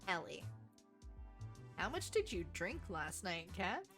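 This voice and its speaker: A woman's voice: lowish voice, big pitch swings, takes it slowly, a bit bright, faint music behind, exaggerated voice. A woman voicing a wary, sardonic vampire woman who is a contestant in a dating-show visual novel. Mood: dry, amused. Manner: dry, sardonic.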